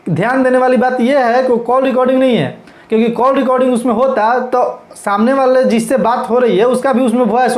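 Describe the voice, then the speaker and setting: A man's voice: very high voice, a little mellow. A man with a Bhojpuri-region Hindi accent giving informal, emphatic news commentary as a channel host, repeating his points as he explains. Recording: echoey, roomy sound.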